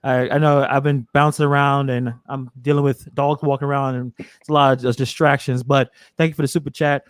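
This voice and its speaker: A man's voice: medium pitch, little pitch movement, fairly quick, clean tone, no room sound. A man hosting a casual livestream interview, chatty and reading viewer messages aloud. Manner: chatty, laughs, reads chat aloud. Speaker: host of a livestream.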